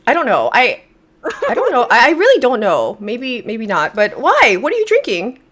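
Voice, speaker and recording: A woman's voice: on the high side, wildly swooping pitch, moderate pace, fairly bright tone. A woman co-hosting a casual podcast with her sister, chatty and full of fillers, often saying "girl.". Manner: chatty, says "girl" a lot. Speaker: podcast co-host. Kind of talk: casual chat between sisters.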